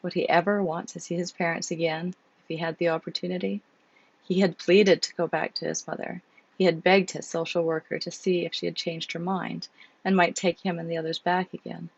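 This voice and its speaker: Hoarse woman with a cold reading aloud. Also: fairly low pitch, little pitch movement, a little fast, dry recording, highs cut off. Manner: chatty, with fillers before reading. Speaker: author reading her own novel. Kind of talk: casual chat, then book reading.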